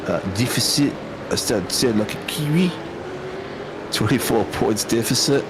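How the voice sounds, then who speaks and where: A man's voice: mid-range voice, animated, unhurried, background noise. An Australian man commentating a sim race in a casual, matey way, with plenty of ums and uhs.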